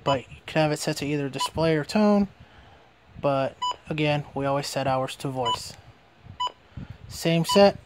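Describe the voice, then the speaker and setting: A man's voice: a little high, a little slow, noisy background. A man giving a casual hands-on product demonstration as a reviewer.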